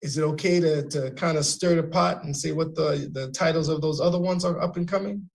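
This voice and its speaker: A man hosting a radio interview, halting and repeating words as he rephrases his questions. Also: a little high, steady tone, vocal fry. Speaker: radio host.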